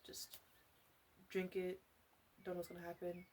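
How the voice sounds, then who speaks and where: A woman playing a flirtatious witch who sells potions, rambling wryly and hesitantly in a soft ASMR roleplay addressed to the listener. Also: on the low side, completely flat delivery, a little slow, a little hiss or hum, close to an everyday voice. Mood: playful, musing. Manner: hesitant, rambling, wry, with asides.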